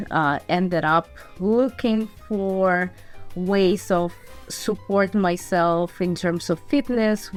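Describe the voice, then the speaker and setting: A woman from Belarus and Ukraine, a podcast guest telling her story casually in an interview. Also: lowish voice. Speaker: podcast guest, fitness entrepreneur.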